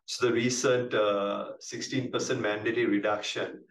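Halting Sri Lankan man, roomy distant sound. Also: lowish voice, flat delivery. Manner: halting, repeats words, measured. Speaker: company executive on an earnings call. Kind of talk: business presentation, answering questions. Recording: roomy, distant mic with clear background noise.

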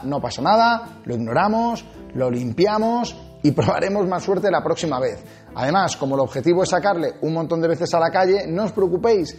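An energetic man with a Castilian Spanish accent, speaking to camera as a dog trainer. His voice sits a little high and jumps up for emphasis, recorded on a lapel mic in a small studio set with faint music underneath. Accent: Spanish from Spain. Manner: animated, big hand gestures, emphatic swings in pitch. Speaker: dog trainer and channel host. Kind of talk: energetic tips video to camera.

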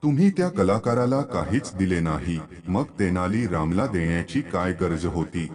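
A man's voice: sits low, expressive, normal speed, darkish tone. A man voicing an indignant royal priest in a storybook tale, formal and accusing.

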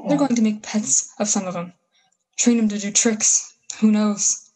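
Female actor for a bitter war survivor in a radio drama, husky voice, folksy slang, full of grand plans. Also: medium pitch, warm tone, low-quality recording. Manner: folksy, slangy, halting emphatic phrases. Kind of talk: 1930s-style radio play acting.

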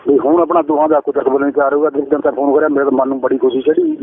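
A man's voice: medium pitch, lively intonation, racing. A middle-aged man with an Indian Punjabi accent talking privately on a noisy recorded phone call, rambling and repeating himself insistently. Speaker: caller, father-in-law. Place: phone line.

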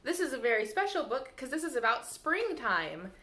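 A woman's voice: high-pitched, very animated, moderate pace, bright, forceful tone. A woman in her thirties reading a children's picture book aloud in a sing-song way, talking directly to young kids as a library storyteller. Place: small room indoors by a window, seated. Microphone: camera mic a short distance away. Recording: roomy echo from camera mic.

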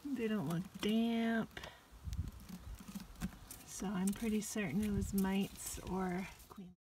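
A woman's voice: mid-range voice, steady tone, noisy background. A young woman in her twenties, chatting casually as she explains hive work in a backyard, recorded outdoors on a nearby camera with music underneath. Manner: unhurried, chatty, gasps with dismay at finds. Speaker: beekeeping vlogger. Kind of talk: casual how-to narration while working. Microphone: camera on a stand nearby, later handheld.

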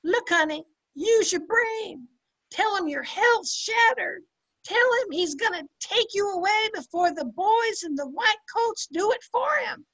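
A woman's voice: very high-pitched, expressive, measured pace, fairly bright tone, full character voice, poor audio. An older woman playing a brassy, sardonic housemaid in an amateur old time radio sitcom, with slangy, punchy delivery. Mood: bossy, egging on. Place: indoor room at home, video call. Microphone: computer mic on a video call.

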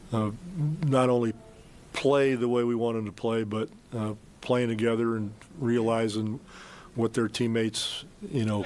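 An older man speaks at a desk mic in a press conference room. He answers postgame questions as a coach in a measured, plain-spoken way, full of fillers and restarts. A faint room hum sits behind him. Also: mid-range voice, a little mellow. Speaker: basketball head coach. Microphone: desk mic on stand.

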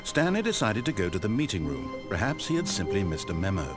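A man's voice: lowish voice, sing-song, fast, loud music behind the voice. A man with a British accent playing an urbane, wry storyteller in a game, precise and theatrical in his scripted narration. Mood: measured, puzzled.

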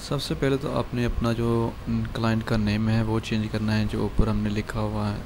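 A man, hosting a screen-recorded software tutorial and calmly walking through a design file with lots of filler words. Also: on the low side, background noise. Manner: calm, unhurried explaining with many fillers. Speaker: channel host showing a design file.

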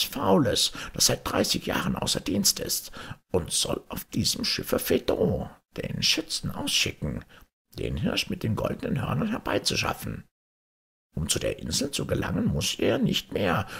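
A man reading a fairy tale aloud as an expressive storyteller. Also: lowish voice, creaky, slightly rough. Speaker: storyteller, audiobook reader.

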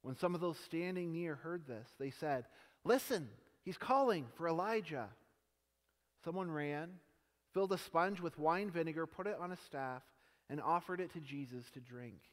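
A young man reading scripture aloud in a large church sanctuary, slow and measured, his phrases dropping softly at the ends. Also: a little high, animated. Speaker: scripture reader. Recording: long church hall reverb.

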